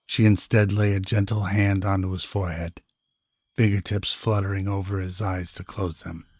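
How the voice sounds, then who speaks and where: Low, even-toned male drama narrator. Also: moderate pace, no room sound. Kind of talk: scripted radio drama narration. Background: music.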